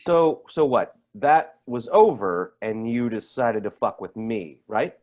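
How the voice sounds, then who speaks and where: An actor playing a bitter, sarcastic man in a staged play reading, needling others with halting repeats. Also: mid-range voice, a little mellow, close to an everyday voice. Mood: bitter sarcasm. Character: bitter, sarcastic man feuding with his sister's partner.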